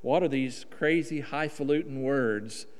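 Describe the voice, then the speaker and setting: A middle-aged man with a Southern drawl from Oklahoma preaches a sermon in a folksy, emphatic way, stretching his vowels. His voice is smooth and resonant. It rings out with a long reverb through a church hall. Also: medium pitch, unhurried. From Oklahoma, USA. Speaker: guest preacher. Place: church sanctuary.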